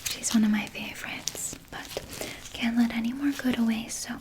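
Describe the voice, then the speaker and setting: A woman playing a vampire nurse in an ASMR roleplay, whispering breathily and coddling the listener with quiet menace. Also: a little high, steady tone, close to an everyday voice. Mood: gentle, matter-of-fact. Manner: slow, soothing, coddling with veiled threat. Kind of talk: ASMR roleplay, personal attention.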